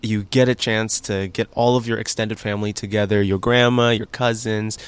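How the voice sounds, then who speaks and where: A man's voice: on the low side, flat delivery, moderate pace, clear, resonant tone. A young man from Indonesia, a graduate student, chatting in a casual interview in a friendly, easygoing way. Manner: friendly, easygoing, with many ums and laughs.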